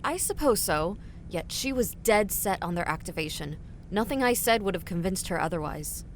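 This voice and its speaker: A woman's voice: on the low side, lively intonation, normal speed, a little hiss or hum. An actress playing a polite, guarded young woman dispatcher under questioning. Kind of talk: audio drama acting.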